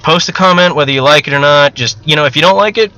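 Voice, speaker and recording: A man's voice: on the high side, fast talker, bright, forceful tone, lo-fi sound. A man talking casually and unscripted to viewers as an amateur writer, rambling, candid and self-deprecating, with casual swearing. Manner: casually profane. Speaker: amateur novelist and video host.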